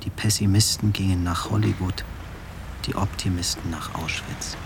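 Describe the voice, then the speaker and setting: Grave commentator, very low male voice, declamatory radio play monologue, reverberant, background noise. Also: fairly even intonation, moderate pace. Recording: echoey space with clear background noise.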